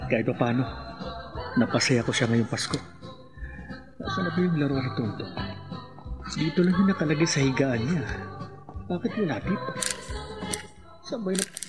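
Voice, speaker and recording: An actor playing a doting father of a small boy in a radio horror drama, expressive and coaxing. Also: medium pitch, natural voice. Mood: content, then puzzled, uneasy. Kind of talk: radio horror drama acting, thinking aloud. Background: music.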